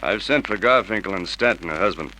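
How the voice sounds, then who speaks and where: A male actor playing a blunt, businesslike homicide sergeant in an old radio detective drama, firing questions gruffly. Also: low-pitched, lively intonation, a little fast. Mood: skeptical, questioning. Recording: noisy vintage radio drama recording.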